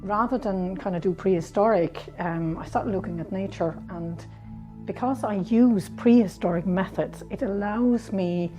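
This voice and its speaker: A middle-aged woman from Denmark, not a native English speaker, answers questions in her workshop at a medium pitch. She talks casually, with plenty of fillers and restarts, while soft music plays underneath. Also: rough recording. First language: Danish. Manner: halting, many restarts and fillers. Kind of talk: casual interview.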